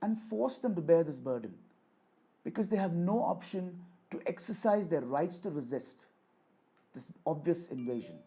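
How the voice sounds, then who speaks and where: A man with a husky, mellow voice pitched a little high delivers a political speech. He is declamatory and deliberate, framing points as rhetorical address and leaning on repetition for emphasis. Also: animated. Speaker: orator reading a voice sample. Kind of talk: rhetorical political speech, rehearsed with repeated takes.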